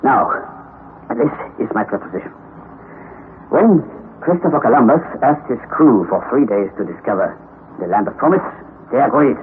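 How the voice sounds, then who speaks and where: An actor playing an obsessed, commanding older professor in a declamatory, grandiose old-time radio drama style. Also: male voice, mid-range voice, expressive, normal speed, pauses often, natural voice. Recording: tinny, noisy old radio recording.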